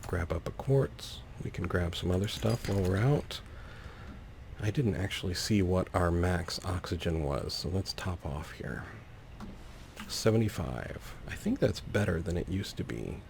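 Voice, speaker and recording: A man narrates his gameplay in a low, gravelly voice. He takes his time, thinking aloud with whimsical little asides. There is faint background noise behind him. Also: animated, unhurried, a little mellow. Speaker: let's player, host.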